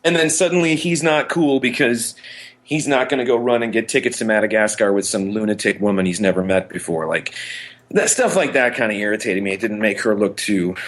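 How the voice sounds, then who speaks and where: A man's voice: lowish voice, brisk, fairly bright tone. A man co-hosting a podcast in casual, enthusiastic pop-culture chat, rambling and often interrupting himself. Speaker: podcast co-host.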